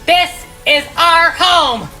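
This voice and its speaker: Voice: very high voice, very slow, pushed and bright, soft background music, voice pushed up, heavily acted. A narrator voicing a hopeful, heroic young female pony with an earnest, emphatic delivery. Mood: fierce, determined.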